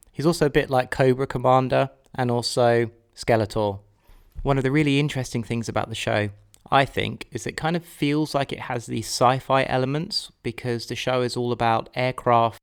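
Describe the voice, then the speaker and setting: A man's voice: mid-range voice, clear, resonant tone. A man, hosting a podcast with scripted personal narration. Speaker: podcast host.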